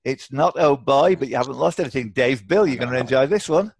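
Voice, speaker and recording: A British man hosts a live online quiz in a chatty, bantering way. His voice sits at a medium pitch. The sound is dry, with little room around it. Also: fast, creaky, dry recording. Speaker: quiz host. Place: indoors, on a video call.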